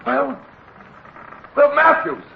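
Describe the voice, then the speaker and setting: An actor playing an earnest, stubborn young midshipman in a 1950s radio drama, formal and sometimes halting. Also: extremely high pitch, fairly even intonation, vocal fry, heavily acted. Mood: urgent, excited. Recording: dull, noisy 1950s radio recording.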